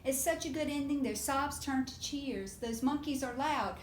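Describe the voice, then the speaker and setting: A woman reading a picture book aloud to young children in an expressive, animated way, often putting questions to her listeners. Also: normal speed. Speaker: preschool storytime reader. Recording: roomy echo with faint low rumble.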